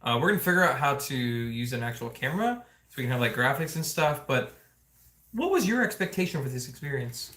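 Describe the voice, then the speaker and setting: A man co-hosts a relaxed home livestream with a chatty, joking manner. He speaks conversationally to his viewers. The sound is echoey, picked up in a room at home. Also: mid-range voice, fairly quick. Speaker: family vlog host. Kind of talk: casual livestream chat. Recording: roomy indoor echo.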